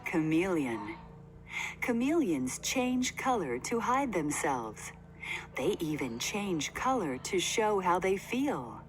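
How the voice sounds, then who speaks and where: A woman reading a children's nonfiction book aloud in a deliberate, simply phrased way for young listeners. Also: on the low side, big pitch swings. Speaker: read-aloud narrator. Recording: faint background noise.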